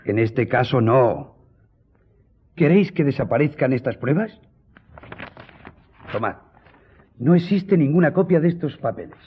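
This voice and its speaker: An actor playing a loyal, reassuring gentleman editor in a radio drama, speaking earnestly and formally with a husky, dark-toned voice. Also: medium pitch, animated, a little slow, pauses often, highs cut off. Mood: firm, reassuring. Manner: earnest, consoling, formal address.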